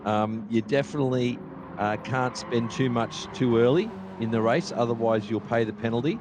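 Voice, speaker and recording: South African male co-commentator, chatty and analytical, noisy background, mid pitch. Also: moderate pace. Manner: chatty, analytical, stumbles into restarts. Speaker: sim racing co-commentator. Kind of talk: live race commentary. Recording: steady background noise.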